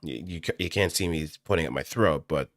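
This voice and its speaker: A man talking casually and off the cuff as he explains voice acting, thinking aloud in an animated, demonstrative way. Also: a bass voice, brisk, gravelly, dry recording, clean studio sound. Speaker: amateur voice actor. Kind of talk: casual explanatory talk, improvised voice acting tutorial. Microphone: close studio microphone through audio interface.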